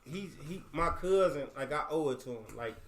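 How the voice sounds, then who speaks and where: A man's voice: on the high side, animated, moderate pace, crowd noise. A man in casual chat, telling a laid-back story and quoting what others said to him. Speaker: guest rapper. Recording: echoey room.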